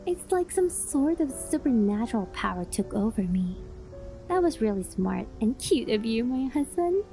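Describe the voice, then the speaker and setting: A woman's voice: fairly high pitch, sing-song, moderate pace, dark tone, faint music behind. A young woman voicing a possessive, doting fox-spirit wife in a cutesy, affectionate ASMR roleplay. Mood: fond, reminiscing. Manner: cutesy, clingy, affectionate, with breathy gasps and giggles. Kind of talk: ASMR roleplay, addressing the listener.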